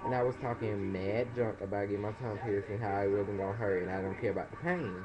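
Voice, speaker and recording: A young man, casually telling a story in a vlog and acting out other people's voices. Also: fast. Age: young adult. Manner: animated, acts out other people's voices in high pitch. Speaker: vlogger. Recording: dull lo-fi webcam audio.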